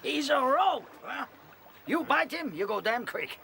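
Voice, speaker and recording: Foreign seagull for radio drama, sharp squawky broken english, some noise behind. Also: male voice, very high voice, big pitch swings, unhurried, gravelly, full character voice. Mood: matter-of-fact. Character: blunt, helpful foreign seagull, male.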